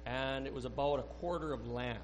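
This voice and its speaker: A man sharing a personal testimony at a church service, speaking earnestly and haltingly with many restarts. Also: medium pitch, fairly even intonation, slight background noise. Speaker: church member giving a testimony. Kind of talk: rambling spoken testimony.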